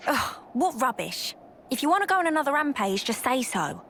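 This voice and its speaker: An actress playing a blunt, no-nonsense soldier in a video game, curt, sardonic and exasperated. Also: female voice, high-pitched, sing-song, a little fast, a bit bright, a little hiss or hum, full character voice. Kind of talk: video game cutscene acting.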